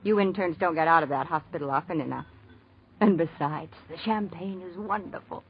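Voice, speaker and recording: An actress playing an affectionate, considerate young fiancée who coaxes gently, in 1940s radio drama style. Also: female voice, lowish voice, fairly quick, close to an everyday voice. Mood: coaxing. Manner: fond, gently coaxing. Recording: muffled, faintly noisy old radio recording.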